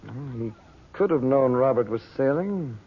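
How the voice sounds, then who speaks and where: Earnest, deliberating uncle, old-time radio drama, husky, lively inflection, thin phone-like recording. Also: male voice, medium pitch, moderate pace. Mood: pondering, serious. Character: Uncle Frank, earnest, steady, deliberating adult man. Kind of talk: 1940s radio drama acting.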